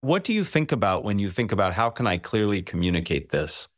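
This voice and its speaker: A male podcast host with a somewhat low voice asks questions in an appreciative, inviting manner. The recording is dry, with little room sound. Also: big pitch swings, brisk, no room sound. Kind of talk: podcast interview.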